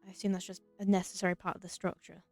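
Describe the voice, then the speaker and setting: A woman's voice: medium pitch, little pitch movement, very fast, darkish tone. A woman giving casual let's play commentary in a reflective, wry manner. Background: faint game music.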